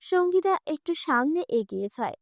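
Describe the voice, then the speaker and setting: A woman's voice: sits high, very animated, moderate pace, vocal fry, no room sound, clean studio sound. A woman reading scripted narration for a cartoon fairy tale.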